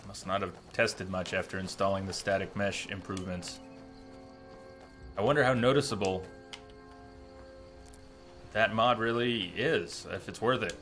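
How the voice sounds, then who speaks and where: Low, bright-voiced male game streamer. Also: steady tone, a little slow, long pauses. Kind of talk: casual live gameplay commentary. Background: game music.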